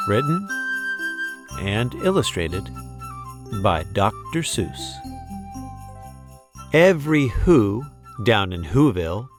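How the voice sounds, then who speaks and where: A man reading a children's story aloud with dramatic, deliberate, theatrical emphasis. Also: medium pitch. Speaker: storybook reader. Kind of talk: expressive children's read-aloud narration.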